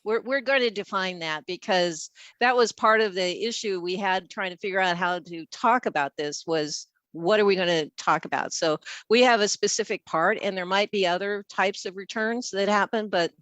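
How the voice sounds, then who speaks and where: A woman's voice: lowish voice, fairly bright tone, dry recording. An older woman presenting casually on an online call as a guest consultant, with an informal, rambling way of talking. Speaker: featured guest, packaging engineer and consultant.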